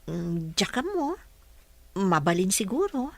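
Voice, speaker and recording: A caring nurse in a radio drama. She is polite and encouraging, gently coaxing in a voice of average height. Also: mid-range voice, sing-song, slow pace, creaky, no echo, heavily acted. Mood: friendly. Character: caring female nurse-caregiver, respectful and encouraging.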